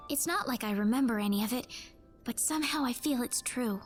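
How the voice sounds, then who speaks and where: A gentle, earnest teenage heroine from a video game , voiced by a woman. Her voice is very high and wistful, with music playing underneath. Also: fairly quick, natural voice. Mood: uncertain. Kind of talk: video game dialogue acting.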